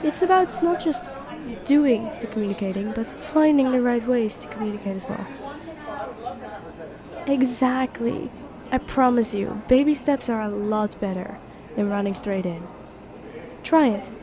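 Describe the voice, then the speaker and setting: Woman acting a high-voiced, sweet best friend in a cafe. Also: measured pace, long pauses, close to an everyday voice. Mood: reassuring. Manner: gentle, earnest, encouraging, conversational with soft hesitations. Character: sweet, supportive young woman, best friend with a secret crush. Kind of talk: intimate roleplay audio acting, one-sided dialogue. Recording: cafe chatter behind the voice. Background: coffee shop crowd ambience.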